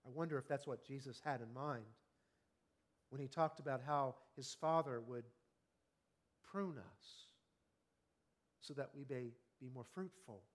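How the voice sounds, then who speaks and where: A man in his fifties preaching an evening sermon, earnest and conversational, often asking the congregation questions and slowing down on key words. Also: medium pitch. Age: 50s. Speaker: pastor.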